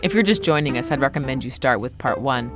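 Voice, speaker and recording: A woman's voice: very deep voice, animated, quick pace, music in the background. A woman hosting a podcast, moving between scripted introduction and casual interview questions. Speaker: podcast host interviewing an author.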